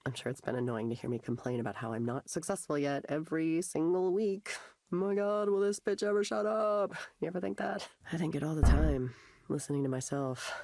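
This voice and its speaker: A woman's voice: deep voice, a little fast, warm tone, no room sound. An actress voicing a self-deprecating woman in a video game who confides in a hesitant, rambling, natural way. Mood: self-mocking. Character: self-deprecating aspiring performer confiding in a counselor.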